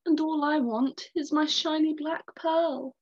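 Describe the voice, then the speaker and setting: Storybook caterpillar, young and female, gentle but reproachful as a woman reads her, slightly high voice, dull lo-fi sound. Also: fairly even intonation, measured pace, noisy background. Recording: dull lo-fi recording.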